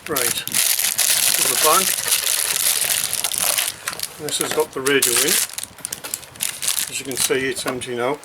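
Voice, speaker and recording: Man, slow halting delivery, matter-of-fact, unscripted unboxing commentary, clear background noise with crinkling packaging. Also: mid-range voice. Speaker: radio hobbyist presenting an unboxing.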